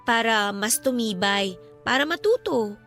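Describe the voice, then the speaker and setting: A high, bright young female voice plays a devout sister in mourning. She speaks earnestly and reassuringly, in the manner of a Filipino radio drama, with music underneath. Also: animated, measured pace. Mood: earnest consoling. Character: grieving, devout younger sister consoling her older friend.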